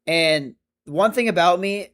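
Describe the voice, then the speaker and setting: Mid-twenties guy rambling on stream, kinda high voice, keeps correcting himself. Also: measured pace, fairly bright tone. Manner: chatty, rambling, self-correcting. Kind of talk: casual livestream chat reading comments.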